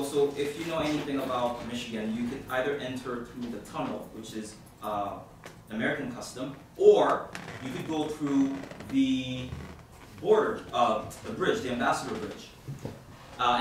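A man from Haiti, a youth minister, praying and then telling a story to a group in a halting, informal way with many fillers. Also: mid-range voice. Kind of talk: opening prayer and talk to a group. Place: hall at a university centre. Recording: echoey room from far off, background noise.

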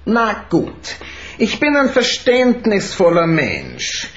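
An actor playing a smug, menacing crime boss with false friendliness in a radio play. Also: male voice, high voice, wildly swooping pitch, slow pace, a bit bright, highs cut off, heavily acted. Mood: oily, dismissive. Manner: oily, mock-friendly. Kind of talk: German radio play acting.

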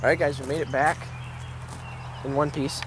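Young American male vlogger, slightly high voice, casual chat, very noisy roadside and vehicle recording. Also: fairly even intonation, normal speed. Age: young adult. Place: old pickup truck cab and roadside outdoors. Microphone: handheld camera at arm's length. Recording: loud road, engine and outdoor noise.

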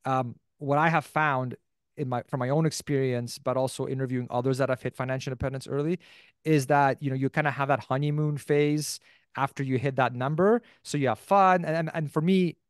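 A man's voice: medium pitch, steady tone, fairly quick, no echo. A middle-aged Canadian man talking casually as an interview guest in a rambling way, into a close desk microphone in a small room. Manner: rambling, peppered with "like" and "you know". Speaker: podcast host as interview guest. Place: small indoor room, video call. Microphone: desk microphone close in front.

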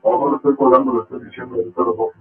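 Man, Mexican accent, voice-changed, gravelly, deferential, echoey narrow phone line. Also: on the low side, racing. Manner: deferential, placating. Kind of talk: phone conversation.

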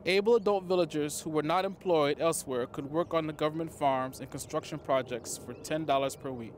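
Man narrating outdoors over traffic noise. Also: a little high. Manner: measured, formal delivery to camera. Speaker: cemetery staff presenter. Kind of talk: scripted historical narration. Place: outdoors in a cemetery. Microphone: lapel mic.

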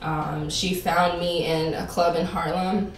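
A young woman from Japan, a native Japanese speaker, chats casually as a guest. Her voice is very low with a lot of vocal fry. It is picked up a little off mic in an echoey room. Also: flat delivery, slow, distant mic, a little hiss or hum. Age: 20s. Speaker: podcast guest, performer. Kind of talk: casual chat. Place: indoor bar with brick walls. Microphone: condenser mic on table, a little distant.

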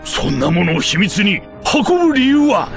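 A hulking alien crime boss from a video game, boastful and slow-witted. His threats come in rough gangster talk that leaps up and down in pitch, high for a man, over game music. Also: wildly swooping pitch, full character voice. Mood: suspicious. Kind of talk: video game cutscene acting. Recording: music behind the voice.

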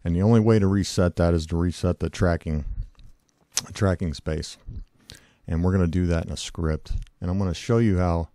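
A hoarse man with a cold, casually walking through a software tutorial with lots of fillers and self-corrections. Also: low-pitched, steady tone, normal speed, no echo, studio quality. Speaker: tutorial host. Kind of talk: screen-recorded software tutorial.

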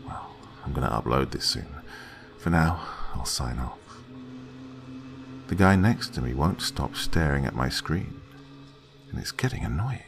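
A man with a deep, gravelly voice reading a horror story aloud in a slow, dramatic way, dropping to hushed whispers at tense moments. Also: sing-song, noisy background. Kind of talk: scripted horror narration.

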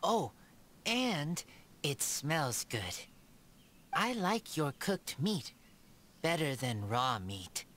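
A woman playing a shy, gentle, loyal feral boy raised by wolves in a video game, speaking in halting broken sentences. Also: low-pitched, big pitch swings, a little hiss or hum, full character voice. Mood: simple, earnest. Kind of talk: video game character dialogue. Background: game background music.

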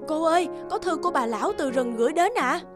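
A woman's voice: sits high, expressive, quick pace, music in the background. A woman playing a timid, respectful young maidservant in a cartoon, deferential and a little hesitant.

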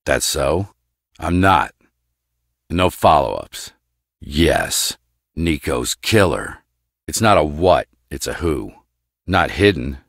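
A very deep, gruff, guarded scientist. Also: male voice, slow, pauses often, dry recording, clean studio sound, heavily acted. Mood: curt, guarded. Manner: terse, clipped, deadpan. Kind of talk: video game voice acting.

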